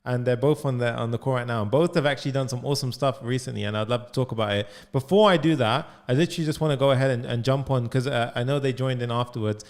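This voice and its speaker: Energetic young man coaching live. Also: mid-range voice. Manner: energetic, fast, full of "like" and "right?". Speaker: coding coach. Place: home studio room. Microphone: studio mic on boom arm, headphones.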